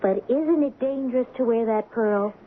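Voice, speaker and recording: A woman's voice: on the high side, normal speed, close to an everyday voice. An actress playing an earnest, anxious young woman, questioning and hesitant, in an old radio drama with tinny, noisy sound. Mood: curious, uneasy. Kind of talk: 1970s radio drama acting.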